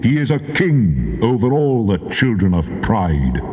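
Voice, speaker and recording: An actor playing a stern, majestic God, declaiming scripture grandly. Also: male voice, fairly low pitch, very animated, a little slow. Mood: majestic, awed. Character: God, stern and majestic male deity proclaiming in wrath. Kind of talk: dramatized scripture reading, theatrical declamation. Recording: dull tape, long cavernous reverb.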